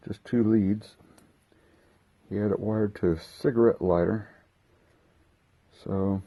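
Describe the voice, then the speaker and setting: A man hosting a casual unboxing video, talking haltingly as he thinks aloud while handling gear. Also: fairly low pitch, gravelly, dark tone, husky. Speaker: host of a hobby unboxing video. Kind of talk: casual unscripted product walkthrough.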